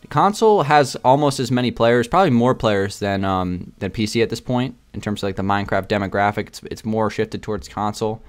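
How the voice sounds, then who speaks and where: A man's voice: lowish voice, fairly quick, hardly any pauses. A man chatting casually to his viewers in a gaming video, rambling a little and often repeating himself. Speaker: video game YouTuber.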